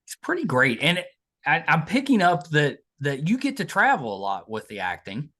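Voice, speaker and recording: Male podcast host, very lively pitch, easygoing interviewer. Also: moderate pace. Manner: friendly, agreeable interviewer with many "yeah" replies. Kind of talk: casual interview chat.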